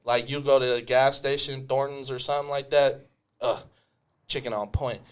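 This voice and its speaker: A man's voice: mid-range voice, fairly even intonation, normal speed, clear, resonant tone. A man hosting a podcast, talking loosely and profanely with many fillers and restarts. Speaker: podcast host. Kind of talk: casual podcast chat.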